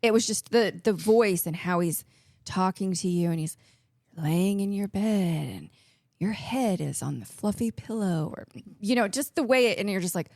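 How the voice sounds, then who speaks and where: A woman co-hosting a casual podcast, chatty and quick to laugh, now and then reading facts aloud. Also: medium pitch, lively intonation, a little mellow, no echo, studio quality. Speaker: podcast co-host.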